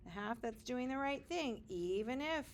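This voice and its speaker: A woman reading a picture book aloud to young children like a teacher, expressive, with dramatic pauses and wondering asides. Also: on the high side, slight background noise.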